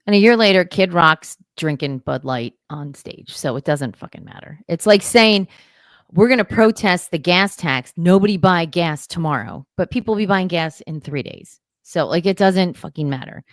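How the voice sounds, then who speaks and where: A woman's voice: lowish voice, animated, dry recording. A woman co-hosting a podcast, chatting casually with blunt, dismissive remarks. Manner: blunt, dismissive, quick asides. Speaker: podcast co-host. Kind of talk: casual chat.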